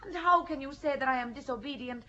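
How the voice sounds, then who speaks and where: A woman's voice: sits high, fast, pushed and bright. An actress playing a young, defiant peasant girl in a radio play, earnest and pleading. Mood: indignant. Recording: dull lo-fi recording.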